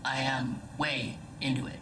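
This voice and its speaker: A woman's voice: extremely low pitch, a little slow, recorded from a distance, background noise. A woman voicing a female ship AI in a video game, swinging between chirpy formal announcements and weary, wry, hesitant candor. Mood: weary, candid. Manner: swings from bright announcements to flat, hesitant mumbling. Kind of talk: scripted video game dialogue. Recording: game audio with clear music behind.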